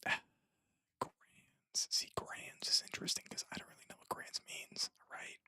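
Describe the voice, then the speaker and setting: A person whispering casual, rambling commentary while playing puzzles, musing aloud in a breathy, hushed voice close to the microphone. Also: unhurried, no echo. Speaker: host of a puzzle-game stream.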